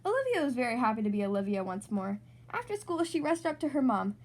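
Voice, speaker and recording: A woman reading a children's storybook aloud as host, in an expressive, animated narrating style. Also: on the high side, fast. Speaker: storybook reader, podcast host.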